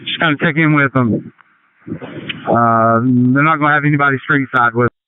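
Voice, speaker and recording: A man's voice: medium pitch, steady tone, moderate pace, clean tone, dry recording. A man working as a fire officer, passing on crew details in an informal, explanatory way over a two-way radio. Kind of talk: fireground radio traffic. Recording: tinny two-way radio transmission.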